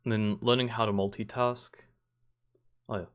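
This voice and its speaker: A man's voice: on the low side, little pitch movement, moderate pace, a little hiss or hum. A young man hosting a podcast, chatting casually with short replies and the odd dry joke. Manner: laid-back, short replies, dry jokes. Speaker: podcast host. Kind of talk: casual chat.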